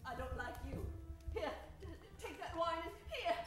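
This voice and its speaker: Woman playing a very high-pitched defiant damsel. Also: expressive, moderate pace. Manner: indignant, melodramatic. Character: female feisty captive heroine, defiant damsel in distress. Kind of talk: improvised old-time radio adventure parody. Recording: roomy sound with music behind.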